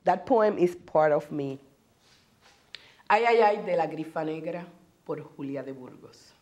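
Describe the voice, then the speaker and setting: A woman in her forties with a Puerto Rican accent, a native Spanish speaker and teacher, talking earnestly about herself in a measured way. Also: low-pitched, darkish tone. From Puerto Rico. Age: 40s. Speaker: bilingual special education teacher. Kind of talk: personal interview testimony.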